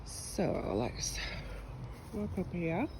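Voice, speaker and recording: A woman's voice: lowish voice, sing-song, extremely slow, background noise. A woman with a cold, chatting casually and a bit scattily as she walks around her allotment. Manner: chatty, scattered, with many ums and restarts. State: sniffly from a cold. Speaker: allotment gardener giving a tour. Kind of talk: casual walking tour vlog. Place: outdoors on an allotment.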